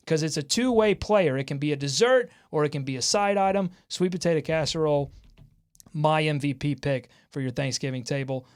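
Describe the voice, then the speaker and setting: A man hosting a sports talk show in an excitable, jokey way. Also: a little high. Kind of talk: lively sports talk with co-hosts.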